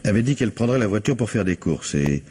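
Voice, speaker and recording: A man's voice: fairly low pitch, expressive, fast talker, dark tone, slight background noise, close to an everyday voice. An actor playing an anxious, evasive middle-aged bourgeois businessman in a radio mystery, hesitant, stammering and deferential. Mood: worried, hesitant. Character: flustered, anxious, evasive 42-year-old bourgeois businessman husband. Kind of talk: radio mystery drama acting.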